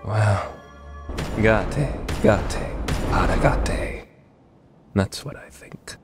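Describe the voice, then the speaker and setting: A man's voice: on the low side, drawn-out words, loud music behind the voice. A man playing an earnest, easily flustered teenage student council president in an anime fandub radio play. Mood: serene.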